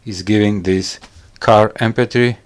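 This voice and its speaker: A man's voice: lowish voice, fairly even intonation, unhurried, smooth. A man hosting a casual hands-on product review, speaking English with non-native phrasing. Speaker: host of a gadget review channel. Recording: loud music behind the voice.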